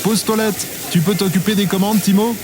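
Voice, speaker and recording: A man's voice: sits high, steady tone, a little fast, background noise, natural voice. An actor playing a bossy, grumpy man in an audio drama, speaking brusquely.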